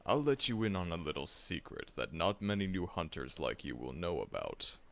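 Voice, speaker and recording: A man's voice: low-pitched, very animated, normal speed, creaky, a bit bright, exaggerated voice. A man voicing a seasoned, friendly veteran monster hunter in an audio roleplay, chuckling often and teasing the listener.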